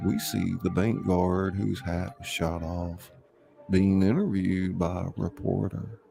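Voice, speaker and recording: A man's voice: flat delivery, slow, mellow, faint music behind. A man hosting a casual livestream, reading a script aloud as narrator. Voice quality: low, gravelly. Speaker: host and script reader.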